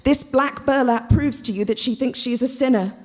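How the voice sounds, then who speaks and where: A woman's voice: sits high, a little fast, a little mellow, voice pushed up, lightly acted. A woman voicing a bossy, zealous thirteen-year-old girl in a storybook reading, with a commanding, solemn manner. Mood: fervent praying.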